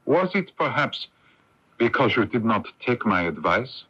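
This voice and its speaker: A man's voice: medium pitch, sing-song, unhurried, pushed and bright, breathy edge, full character voice. An actor playing a formal, imperious male foreign minister in a radio mystery drama, speaking precisely. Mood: stern, insistent. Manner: formal, precise, swooping emphasis. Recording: dull lo-fi radio recording.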